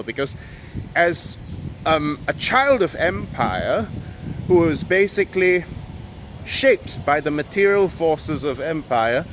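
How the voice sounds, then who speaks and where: Man, Malaysian Peranakan English accent, emphatic and wry monologue, higher pitch, clear street noise behind. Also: a bit bright. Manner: emphatic, wry, with frequent restarts. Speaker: video essayist. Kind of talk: monologue to camera.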